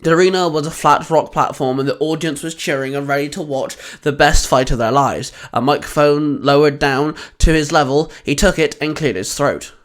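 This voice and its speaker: Higher-pitched man narrating a story. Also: moderate pace, hardly any pauses. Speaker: host and narrator of fan fiction audio stories.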